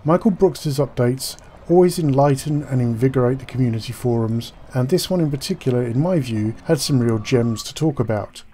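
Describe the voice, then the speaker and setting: A man's voice: mid-range voice, moderate pace, hardly any pauses, warm tone, a little hiss or hum. A man giving scripted game news commentary as a gaming commentator, articulate and formal in his wording.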